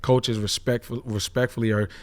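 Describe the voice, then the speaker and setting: A man's voice: medium pitch, little pitch movement, moderate pace, a little mellow. A man who is a former pro football player, now an analyst on a casual sports talk show, explaining plays emphatically and with energy.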